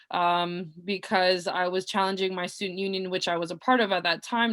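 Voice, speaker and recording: A young woman from Nova Scotia with a loud voice introduces herself as a guest speaker on a video call from her bedroom, talking earnestly with frequent ums and uhs. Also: medium pitch. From Nova Scotia, Canada. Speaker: community organizer and guest speaker. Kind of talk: personal self-introduction at an online panel.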